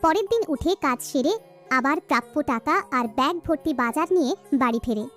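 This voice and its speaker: Woman narrator for scripted children's tale, extremely high pitch, soft musical backing. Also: brisk, soft background music.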